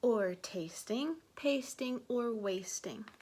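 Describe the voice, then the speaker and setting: A woman voicing a mother cat, firm but kindly, with a measured, gently scolding manner in a storybook. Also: on the high side, animated, breathy edge, exaggerated voice. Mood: warning.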